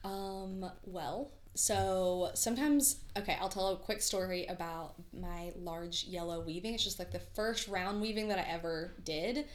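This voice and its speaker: A woman's voice: fairly low pitch, measured pace, few pauses. A woman guest artist telling stories in a casual, enthusiastic podcast conversation. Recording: roomy, echoey sound.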